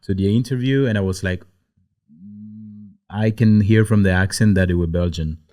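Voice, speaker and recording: A man's voice: on the low side, dark tone. A man co-hosting a business podcast, narrating a scripted intro and then chatting with short replies. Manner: brisk presenter delivery, short back-channel replies in chat. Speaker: podcast co-host. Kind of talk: scripted narration and casual chat.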